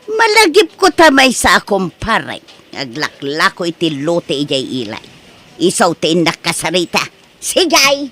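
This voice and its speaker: A woman's voice: lowish voice, wildly swooping pitch, unhurried, a bit bright, heavily acted. A woman with an Ilocano accent from the Philippines playing a scheming, outspoken middle-aged wife in a radio-style comedy drama, brash and argumentative. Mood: bossy, eager.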